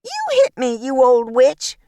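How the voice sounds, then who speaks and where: A woman reader voicing a gossipy, sanctimonious old woman who puts on false tears, in a high, shrill, theatrical voice. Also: very animated, a little slow, mellow, no room sound, clean studio sound. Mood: shrieking outrage. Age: older.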